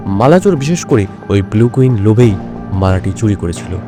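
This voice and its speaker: A male actor playing a shrewd, self-assured detective in an audio drama, speaking in a measured, explanatory way. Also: fairly low pitch, lively intonation, over music, lightly acted. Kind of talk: Bengali audio drama dialogue.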